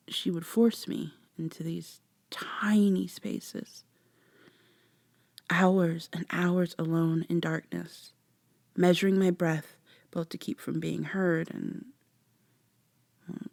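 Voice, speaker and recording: A woman playing a guarded, sardonic human woman in a vampire ASMR roleplay, speaking close and breathy, with sarcastic, wary delivery that slips into whispers. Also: deep voice, a little mellow, no room sound, full character voice. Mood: somber, haunted.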